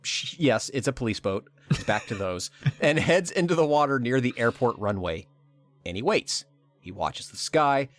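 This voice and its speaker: A man co-hosting a podcast, reading a scripted recap of a game story with wry humor and dramatic pauses. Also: mid-range voice, expressive, soft background music. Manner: wry, dramatic pauses, laughs at own lines. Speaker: podcast co-host. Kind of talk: scripted narration of a game plot.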